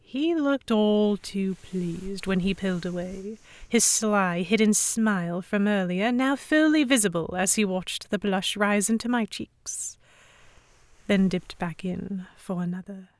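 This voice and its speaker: Lady reading out a first person story, mid-range voice, expressive n dramatic, audio drama narrator. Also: medium pitch, a little slow, no room sound.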